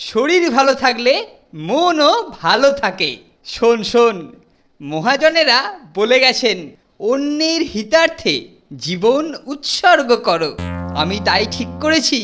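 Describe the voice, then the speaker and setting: A woman's voice: high-pitched, noisy background, heavily acted. A woman voicing a pompous bossy teen boy. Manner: pompous, lecturing, mock-grand. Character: boastful bossy teenage boy, self-important leader. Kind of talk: cartoon dub acting.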